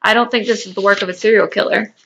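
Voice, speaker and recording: A woman reading the part of a skeptical, guarded man in fan fiction, doubtful and measured, on a slightly dull lo-fi mic. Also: medium pitch, steady tone, creaky, a bit bright, a little hiss or hum.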